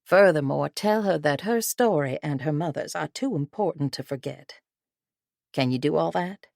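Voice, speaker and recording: A woman reading the part of a formidable older female intelligence director in measured, composed tones. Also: low-pitched, animated, gravelly, darkish tone, dry recording, studio quality. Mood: authoritative. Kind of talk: audiobook character reading.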